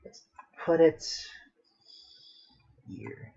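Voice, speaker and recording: Guy streaming his drawing, kinda slow n raspy, mumbles to himself, muffled lo-fi audio. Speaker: livestreaming artist and host. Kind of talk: casual livestream chat while sketching. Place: at home with a dog nearby. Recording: dull lo-fi home stream audio.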